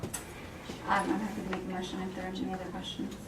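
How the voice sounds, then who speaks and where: A woman's voice: medium pitch, completely flat delivery, rapid-fire. A woman speaking conversationally as a board member, asking questions and making motions at a public meeting. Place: indoor meeting room. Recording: echoey, noisy room, distant microphone.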